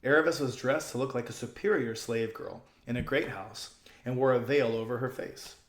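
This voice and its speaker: A man reading a children's story aloud as an expressive audiobook narrator. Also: mid-range voice, normal speed, reverberant.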